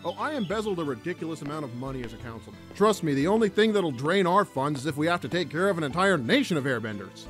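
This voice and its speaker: A man plays a weary, grumpy cartoon dad. The pitch is high and lively, the delivery sardonic and exasperated, with soft music underneath. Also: quick pace, hardly any pauses, lightly acted. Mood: earnest, sardonic. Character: grumpy, weary father trying to reconcile with family. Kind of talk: cartoon parody voice acting.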